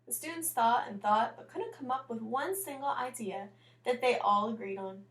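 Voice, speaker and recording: A woman teacher reading a picture book aloud, pausing to explain and comment on the pictures as she goes. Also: on the high side, lively intonation. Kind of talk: storybook read-aloud with chatty asides.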